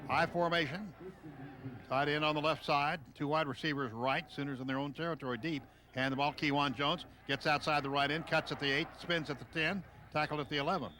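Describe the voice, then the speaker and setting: A man with a somewhat high voice calls a live football game for radio. His delivery comes in short, clipped phrases that follow the action. Clear stadium noise sits behind him throughout the broadcast. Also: fairly quick. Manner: clipped running description, excitable on big plays. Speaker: radio play-by-play announcer.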